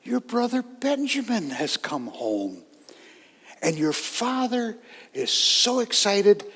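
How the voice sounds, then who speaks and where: A man voicing an excited member of the household announcing a homecoming. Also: sits high, slightly rough, voice pushed up, exaggerated voice. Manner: excited, urging. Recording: long hall reverb.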